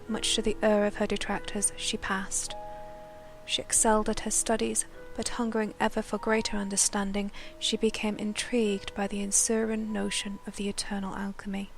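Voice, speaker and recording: A woman reading scripted dramatic lore narration in a solemn storytelling manner. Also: medium pitch. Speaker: narrator of a game lore news chronicle. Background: background music.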